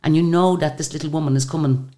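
An Irish woman with a very deep voice, a singer chatting as a guest and telling stories in a lively, animated way. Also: quick pace. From Ireland. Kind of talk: casual interview storytelling.

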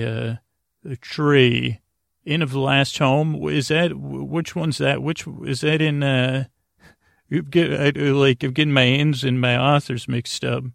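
A man hosting a bedtime sleep podcast, with a creaky voice and a gentle, silly, meandering manner full of hesitations, tangents and pauses. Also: mid-range voice, no room sound, studio quality. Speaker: podcast host.

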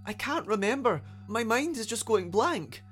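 A man's voice: high-pitched, big pitch swings, normal speed, a bit bright, faint music behind, voice pushed up. A man voicing an earnest, chatty, easily upset young unicorn in a story, speaking with feeling and some hesitation. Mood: tentative, upset. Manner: stammering, hesitant, emotional.

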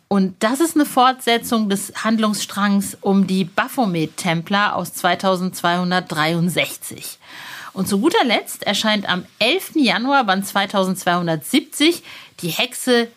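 A woman's voice: medium pitch, a bit bright. A woman hosting a podcast in a casual, chatty and playful way. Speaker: podcast host.

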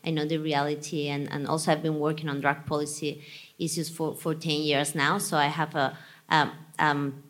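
A woman from Spain speaks on a conference panel, her English carrying a Spanish accent. Her voice sits low for a woman. She picks her words haltingly, with frequent fillers and restarts. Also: little pitch movement. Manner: hesitant, many restarts and fillers, careful phrasing.

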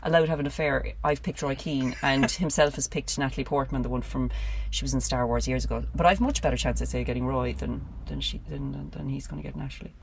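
A woman from Cork with a Cork accent, an actor chatting as a guest, quick and gossipy. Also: very deep voice, vocal fry, a little hiss or hum, poor audio. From Cork, Ireland. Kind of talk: casual comic chat.

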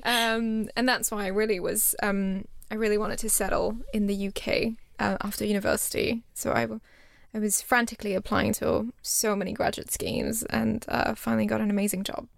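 A woman's voice: medium pitch, flat delivery, moderate pace, vocal fry, hardly any pauses, no echo, studio quality. A young woman from Singapore, chatting as an interview guest with lots of fillers and restarts. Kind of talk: casual interview chat.

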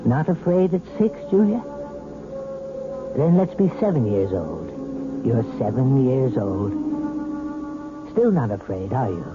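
An actor playing a calm male hypnotist who talks in a soft, measured, persuasive way, in a 1970s radio drama. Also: medium pitch. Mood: coaxing. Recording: tinny old radio broadcast with music.

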